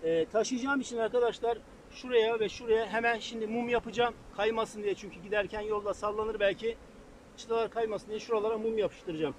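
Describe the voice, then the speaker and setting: A man's voice: high-pitched, steady tone, fairly quick. A middle-aged man, a beekeeper, explaining hive splitting to viewers in a casual how-to while working at the hives in an open field, recorded by a camera set at a distance. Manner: practical, addresses viewers as friends, thinks aloud while working. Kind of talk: casual outdoor how-to lesson. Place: open field beside beehives, outdoors. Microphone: far camera on a tripod. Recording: faint field noise with buzzing bees.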